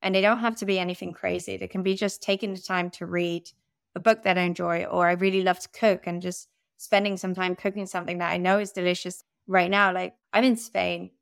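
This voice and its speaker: A young woman from the Netherlands, a podcast guest speaking candidly and laughing at herself in a relaxed interview. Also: on the low side, brisk, gravelly, clear, resonant tone, no room sound, clean studio sound. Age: young adult. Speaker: podcast guest, growth advisor. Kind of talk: conversational interview.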